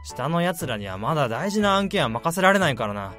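A friendly, easygoing middle-aged manager in a radio drama. He sounds casual and reassuring, with a slightly raised voice and a very lively pitch. Faint music plays underneath. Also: a little fast. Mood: breezy. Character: easygoing male manager, friendly to his old peer. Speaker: section chief, colleague of the protagonist.

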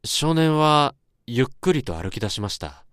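A man's voice: mid-range voice, big pitch swings, measured pace, smooth, dry recording, clean studio sound. A man acting as the haunted narrator of a radio horror drama, recounting an eerie dream in a dramatic monologue. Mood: uneasy, urgent. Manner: dramatic, swelling from hushed to intense. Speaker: narrator and main character. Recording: storm and rain noise behind.

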